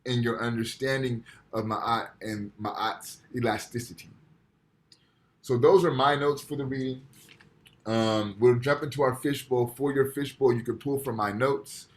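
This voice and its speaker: A man's voice: medium pitch, steady tone, a little slow. A man with an ordinary mid-range voice teaches a university class over a video call. He explains patiently, point by point, and keeps checking in with his students by asking "right?". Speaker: university lecturer. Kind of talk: online lecture. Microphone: computer mic on video call.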